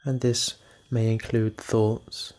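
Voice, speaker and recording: Evenly pitched man guiding meditation. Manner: calm, measured, with unhurried pauses. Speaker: meditation guide. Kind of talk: guided meditation narration.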